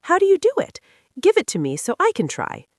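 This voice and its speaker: Storybook princess: teenage girl played by a woman, high-pitched, swooping intonation, inquisitive and eager. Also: huge pitch swings, fairly quick, dry recording, clean studio sound, exaggerated voice. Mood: eager curiosity.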